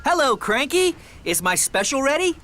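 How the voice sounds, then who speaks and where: An eager, naive little boy engine in a children's cartoon. The voice is high and cheerful, swinging freely up and down. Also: big pitch swings, normal speed, background noise. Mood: curious.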